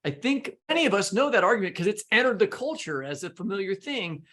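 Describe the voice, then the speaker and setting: American guy podcast host, talks really fast, bit high pitched, casual interview questions. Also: very animated, fairly bright tone. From Missouri, United States.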